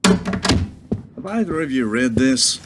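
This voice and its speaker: A man's voice: medium pitch, big pitch swings, slow pace, heavy background noise. A man playing a weary, folksy president who talks informally and questioningly in a radio play. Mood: exasperated. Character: weary, folksy male United States president. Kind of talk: radio drama dialogue.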